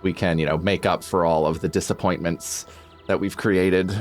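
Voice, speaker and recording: A man plays a young bandit son in a tabletop game, loyal but sheepish. The voice sits low and talks casually in halting bits, over music. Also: fairly even intonation, natural voice.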